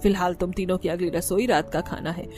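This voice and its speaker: A woman's voice: on the low side, steady tone, a little fast, darkish tone, soft background music, close to an everyday voice. A woman voicing a stern middle-aged mother-in-law in a cartoon, firm and authoritative. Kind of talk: cartoon voice acting.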